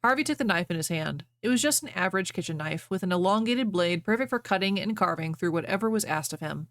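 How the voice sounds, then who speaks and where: A woman's voice: lowish voice, lively intonation, fast talker, no room sound. A woman livestreamer chatting casually with viewers and reading fan fiction aloud.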